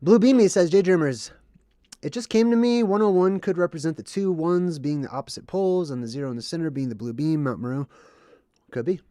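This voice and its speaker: A man's voice: fairly high pitch, a little fast, warm tone, dry recording. Man with a cold, dry close sound. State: under the weather, mildly congested. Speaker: livestream host. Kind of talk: explanatory monologue with chat reading.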